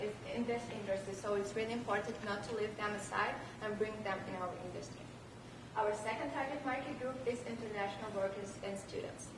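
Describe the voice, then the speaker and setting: A young woman from Russia with a Russian accent, giving a rehearsed student presentation. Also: fairly high pitch. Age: young adult. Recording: echoey noisy room, distant microphone.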